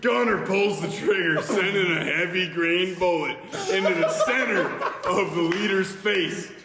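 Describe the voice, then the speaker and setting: A man's voice: high-pitched, measured pace. A man reading an action film script aloud theatrically, stumbling over words now and then. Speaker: podcast host reading narration aloud. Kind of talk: dramatic read-aloud of an action film script among friends. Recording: echoey reverberant room, faint noise.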